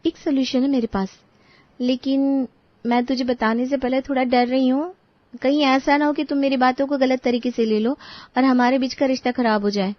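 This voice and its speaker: A woman voicing an outspoken adult friend in an animated story, talking in a frank, persuasive way. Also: high-pitched, normal speed, no room sound, lightly acted. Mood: concerned. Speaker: voice actor. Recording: dull, dry studio recording.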